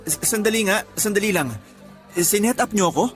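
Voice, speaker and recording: A man's voice: high-pitched, brisk, a bit bright. A young man acting a defensive, confused boyfriend pleading in a radio drama. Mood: shocked, confused. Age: young adult. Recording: music behind.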